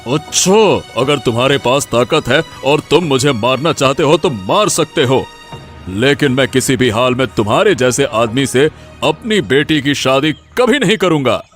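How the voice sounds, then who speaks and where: Male actor as elderly father, medium pitch, earnest, emphatic, storybook drama, faint music underneath. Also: expressive, measured pace, close to an everyday voice. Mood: indignant, defiant. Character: worried, principled old village father, indignant when threatened. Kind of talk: Hindi audio story dramatic acting.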